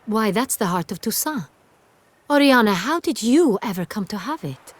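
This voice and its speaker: A woman's voice: on the high side, animated, moderate pace. A woman in a video game playing a refined, commanding duchess with formal, genteel phrasing. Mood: surprised, curious. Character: noble young female duchess, refined, commanding and earnest.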